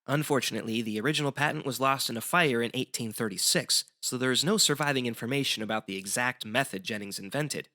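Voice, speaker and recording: A man's voice: mid-range voice, quick pace, hardly any pauses, sharp tone. A man as a guest explaining history in semi-scripted educational banter, articulate and wry.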